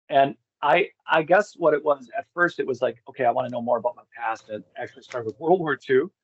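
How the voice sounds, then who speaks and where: A man's voice: medium pitch, no room sound. Man rambling through stories, dull dry recording. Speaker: interview guest. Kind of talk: casual rambling personal storytelling.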